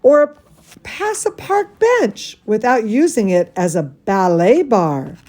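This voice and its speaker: A woman reading a bedtime story to children as their dance teacher, theatrical and gushing, with drawn-out emphasis. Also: medium pitch, sing-song, darkish tone.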